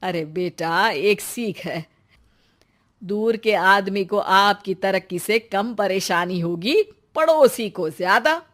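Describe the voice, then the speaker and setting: A woman voicing a shrewd, calm middle-aged mother in an animated story, deliberate and knowing. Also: medium pitch. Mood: advising.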